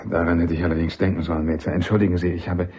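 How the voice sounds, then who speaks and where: An actor playing a courteous but persistent army captain and defence lawyer in a German radio drama, speaking formally. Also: male voice, sits low, flat delivery, rapid-fire, warm tone, husky, highs cut off. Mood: apologetic, pleading. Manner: courteous, formal, deferential yet insistent.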